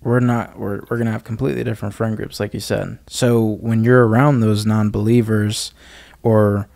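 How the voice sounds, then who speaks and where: Low, even-voiced young man, close and dry. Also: normal speed, creaky, dry recording. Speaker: podcast co-host. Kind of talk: casual faith discussion.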